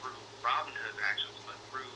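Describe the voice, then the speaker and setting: A man working as a customer support agent on a phone call, explaining carefully and haltingly in an accommodating but noncommittal way. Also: medium pitch. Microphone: phone line, re-recorded on another device. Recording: noisy phone call heard through speaker.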